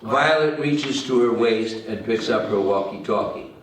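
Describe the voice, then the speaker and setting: A man's voice: medium pitch, a little slow, a little hiss or hum. A man narrating the stage directions of a screenplay at a table read. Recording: roomy, distant mic.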